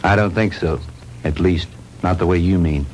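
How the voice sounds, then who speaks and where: Deep-voiced, level-headed man played by an actor. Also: normal speed, a little hiss or hum, close to an everyday voice, low-quality recording. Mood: calm, puzzled. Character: level-headed, reassuring man taking charge of crisis. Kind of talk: 1960s low-budget film acting. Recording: old film soundtrack with musical score.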